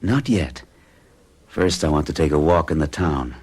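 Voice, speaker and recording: A hushed, dreamy hypnotized man. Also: extremely low pitch, lively intonation, normal speed, breathy edge, full character voice. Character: uneasy man under hypnosis. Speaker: narrating lead character. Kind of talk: 1970s radio drama acting.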